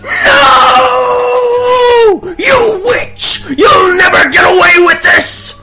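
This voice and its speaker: A man's voice: very high-pitched, steady tone, extremely slow, gravelly, raised voice, over loud music, exaggerated voice, poor audio. A man voicing a weary, grumbling, coffee-loving king with a wry, flustered manner in a comic fairy-tale radio play. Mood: outraged.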